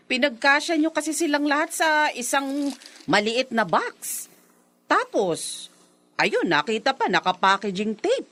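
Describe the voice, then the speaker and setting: A woman's voice: high-pitched, sing-song, a little slow, fairly bright tone, full character voice. An actress playing a courteous, firm female village captain in a Filipino radio drama. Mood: firm, explaining. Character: polite, firm village captain confronting a neighbour.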